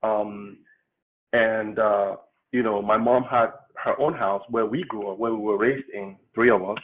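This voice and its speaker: A man from Cameroon, a political candidate, telling his life story in an interview with relaxed, explanatory humor. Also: on the low side, measured pace. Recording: tinny phone-like line.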